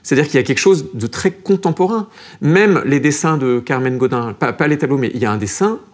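Man debating in a halting, searching way. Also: mid-range voice. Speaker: art critic on a panel. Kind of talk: lively panel debate. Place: TV studio.

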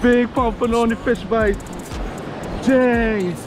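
A man's voice: very high voice, fairly even intonation, slow, music in the background. A man in his thirties chatting casually as a fishing vlogger, exclamatory and slangy, often repeating his phrases. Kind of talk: casual excited vlog chat. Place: outdoors on an open pebbly beach by surf. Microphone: action camera, body-worn or at arm's length. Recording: wind and surf noise on action camera.

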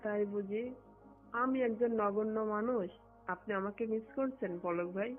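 A woman from Bangladesh speaks in a private phone conversation at a middling pitch. Her voice comes through a thin, muffled call recording, with music underneath. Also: normal speed. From Satkhira, Bangladesh. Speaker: phone caller, government employee.

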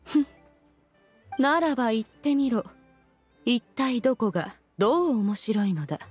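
Game role: proud immortal lady, imperious archaic diction, slightly husky, slightly high pitch, faint music behind. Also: expressive, a little slow. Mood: curt, imperious. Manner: archaic, imperious, formal speech. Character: haughty ancient female immortal in human form. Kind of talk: video game voice acting.

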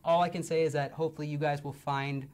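A young man hosting a livestream in a studio, slightly hoarse from long talking, upbeat and full of fillers. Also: on the high side, little pitch movement, fast talker. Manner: upbeat, filler-heavy presenting. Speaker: livestream host. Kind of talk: casual game-show chat. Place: indoor studio set. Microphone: lapel mic.

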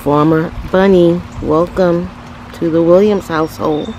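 A woman's voice: fairly low pitch, unhurried, muffled recording. A woman giving viewers a chatty tour of her backyard patio and garden, talking straight to them into a handheld phone outdoors. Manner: chatty, talks straight to viewers with lively exclamations. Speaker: home renovation vlogger. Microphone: handheld phone camera. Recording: outdoor ambient noise.

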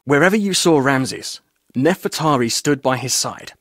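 A man's voice: medium pitch, animated, moderate pace, a bit bright, no echo, clean studio sound. An actor playing a chatty, roguish male tour guide in a video game, with a glib, jaunty, theatrical way of telling things. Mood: wistful storytelling. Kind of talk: video game character dialogue.

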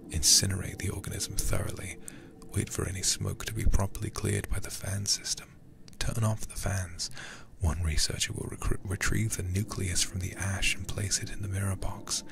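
A man streams a horror game in ASMR style, right up on the microphone. His voice is deep and thick with fry, mostly sinking into a breathy whisper. He reads deliberately and slips in soft, intimate asides to his audience. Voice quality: breathy whispering, low and gravelly. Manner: slow, deliberate reading with intimate asides to viewers. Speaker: livestreamer playing a horror game. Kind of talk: ASMR game stream, softly reading game text aloud and commenting. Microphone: close microphone.

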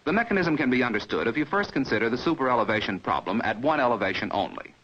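An American man reading a scripted 1950s training film narration in a measured, precise, didactic manner, as heard on an old film soundtrack. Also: medium pitch, animated, slightly rough, lo-fi sound.

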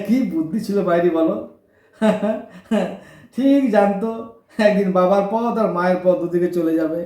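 An actor playing a brash but warm-hearted orphaned street lad in his twenties, with a Kolkata Bengali accent and slangy, breezy talk in an audio drama. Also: medium pitch, takes it slowly, pauses often, roomy sound, natural voice. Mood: wistful, wry. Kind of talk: colloquial audio drama acting.

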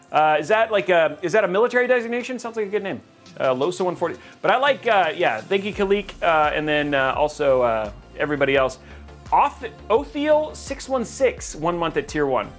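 A man's voice: sits high, fairly bright tone. A man from Texas hosting a casual livestream, reading chat and joking with viewers, rambling and restarting his sentences.

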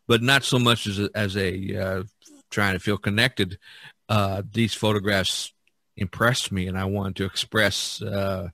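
A man hosting a podcast, chatting casually about his subject in a halting way, repeating words as he thinks aloud. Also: on the low side, vocal fry, fairly bright tone, no room sound. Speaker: podcast host. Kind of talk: casual discussion of art and history.

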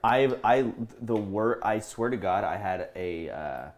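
A young American man chatting casually in a halting, hesitant way in a home kitchen. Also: on the low side, animated. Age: young adult. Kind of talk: casual chat. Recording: some background noise.

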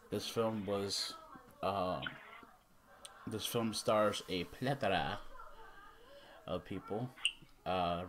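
A man in his thirties giving a casual, unscripted movie review as a vlog host, rambling and halting, with some mild swearing. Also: fairly low pitch, slight background noise. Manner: rambling, halting, mildly profane. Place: small room indoors at home.

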